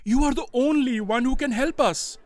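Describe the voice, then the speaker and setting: A woman's voice: sits high, normal speed, noisy background, natural voice. A woman playing an earnest, urgent copy aide in a comic audio drama. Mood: pleading. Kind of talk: scripted audio comedy drama acting.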